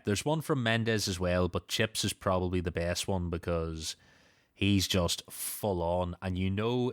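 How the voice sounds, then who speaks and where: A man's voice: deep voice, smooth, no echo, clean studio sound. A man hosting a podcast, casually recapping and discussing a story with a co-host.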